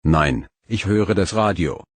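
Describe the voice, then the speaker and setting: A man reading practice phrases one by one for learners, slow and careful. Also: low voice, expressive, dry recording. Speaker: phrase reader.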